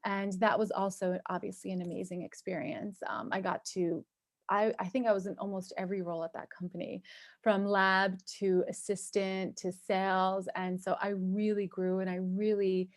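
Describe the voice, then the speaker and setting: A woman's voice: mid-range voice, flat delivery, moderate pace, no echo, clean studio sound. A middle-aged woman from New York, a cosmetic chemist and entrepreneur whose first language was Turkish, telling her story in a chatty, upbeat way on a podcast. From New York, USA. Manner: chatty, upbeat, many ums and likes. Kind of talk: casual interview storytelling.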